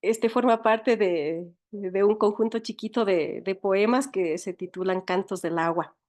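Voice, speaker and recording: A woman's voice: medium pitch, a little slow, a little mellow. A woman with an Ecuadorian accent talking reflectively as an interview guest, searching for words and repeating them as she tells her story. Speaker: writer and teacher, interview guest.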